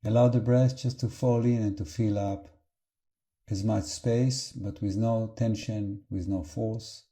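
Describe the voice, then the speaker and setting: A man leading an unscripted guided meditation as a teacher, calm and gentle, with halting phrases and long pauses. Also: on the low side.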